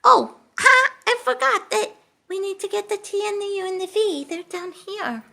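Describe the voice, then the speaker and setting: A puppeteer voicing a sweet, excitable childlike lamb puppet with a squeaky voice and halting, giggly manner for a children's show. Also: creaky, heavily acted. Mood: eager, effortful.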